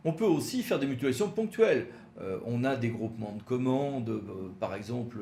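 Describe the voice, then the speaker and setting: A man presents like a legal expert giving a lecture, flagging key points emphatically and often hesitating as he explains. His pitch is average, and the recording has a roomy echo with faint noise behind it. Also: expressive, fairly quick, warm tone. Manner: didactic, emphatic signposting with frequent hesitations.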